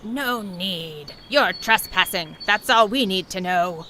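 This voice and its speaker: A woman's voice: medium pitch, animated, slow, pushed and bright. A woman voicing a stern, scornful alien guard in an audio drama, curt and disdainful. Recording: noisy track with clicks.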